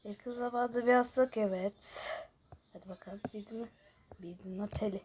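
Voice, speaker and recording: A woman's voice: medium pitch, steady tone, moderate pace. A woman host casually chatting through a guessing game, shifting between muttered asides and chattier remarks to viewers. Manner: alternates muttered asides, often near-whispered, with louder chatty remarks to viewers. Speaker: host of a gaming video. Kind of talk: casual chat while playing a guessing game. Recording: noticeable background noise with clicking.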